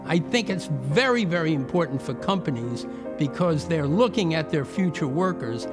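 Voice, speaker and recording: A man speaks in a measured, formal way, with a voice pitched a little above average. Music plays clearly underneath him. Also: animated. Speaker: program supporter speaking to camera. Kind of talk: formal interview statement.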